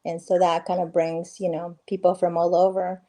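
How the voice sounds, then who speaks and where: A woman's voice: lowish voice, little pitch movement, normal speed, mellow. A middle-aged woman from Peru, a guest on a video call interview, talking in a friendly way with many fillers and restarts. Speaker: guest, real estate agent. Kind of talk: casual interview conversation.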